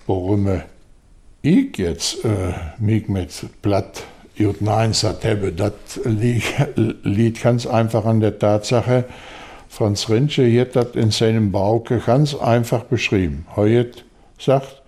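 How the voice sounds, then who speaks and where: An older man, telling a story slowly in an interview, halting with fillers and restarts. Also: fairly low pitch, darkish tone. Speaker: local dialect enthusiast.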